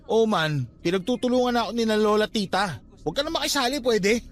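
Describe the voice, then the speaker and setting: A troubled young man in a Filipino radio drama, with a notably high voice. He is sullen and defensive, scoffing as he argues his side. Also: expressive, fast talker.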